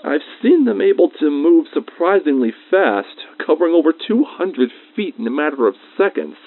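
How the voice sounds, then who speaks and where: A man playing a researcher who records a semi-formal audio diary about creatures he is fond of, measured with informal asides, through a radio-like filter. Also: fairly high pitch, lively intonation. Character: male facility researcher logging notes, fond of creatures. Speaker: researcher recording a personal log. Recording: dry, thin phone-like radio sound.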